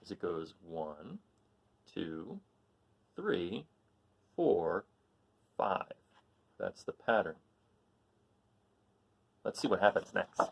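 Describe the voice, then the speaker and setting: A man reading a picture book aloud to children, pausing to count along and quiz his young listeners. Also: deep voice, sing-song, vocal fry.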